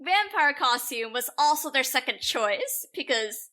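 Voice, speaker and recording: A woman with a bright, forward voice sits a bit higher than average. She chats informally as a podcast co-host, telling anecdotes loosely and casually. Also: sing-song, unhurried. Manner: informal, peppered with "like".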